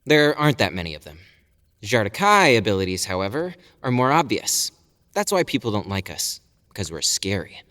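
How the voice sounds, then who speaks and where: A man's voice: fairly low pitch, very animated, moderate pace, fairly bright tone. Man acting a cocky warrior in a reverberant hall. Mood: explanatory, amused. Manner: breezy, boastful explaining. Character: cocky, proud male warrior showing off his powers. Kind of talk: fantasy audio drama dialogue. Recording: reverberant hall, faint music behind.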